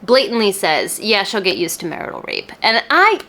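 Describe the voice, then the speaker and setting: A woman hosts a casual book vlog, speaking straight to the viewer at a medium pitch. Her delivery is chatty and animated, with emphatic stress on the words that matter to her. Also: normal speed. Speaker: book reviewer and video host.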